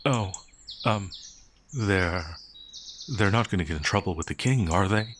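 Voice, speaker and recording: A man playing a gentle, anxious werewolf outlaw in a fantasy ASMR roleplay, hesitant, stammering and apologetic. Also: low voice, measured pace, noisy background. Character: gentle, timid, anxious male werewolf outlaw, easily frightened.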